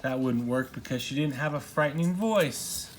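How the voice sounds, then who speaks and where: A man's voice: mid-range voice, normal speed. A father reading a storybook aloud in narration, recorded at home. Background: household noise, young child interjecting.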